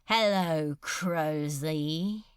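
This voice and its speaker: A woman acts out a coldly courteous demon lord from a storybook, dragging each phrase out very slowly. He is rasping and strangely accented, leaning hard on odd words as he toys with his listener in a dry, close recording. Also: sits low, no echo, pitched below the performer's own voice. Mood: cold, mocking. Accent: strange, unplaceable. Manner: slow, forceful, unpredictable stress on words, toying. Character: menacing, authoritative male demon lord, coldly courteous, archaic speech.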